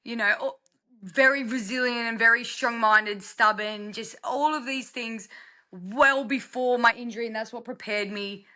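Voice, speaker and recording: Young Australian female guest, slightly high and bright, candid, talkative, casual interview delivery. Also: steady tone, moderate pace. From rural South Australia. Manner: chatty, candid, self-deprecating. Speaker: podcast guest. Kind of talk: casual personal interview chat.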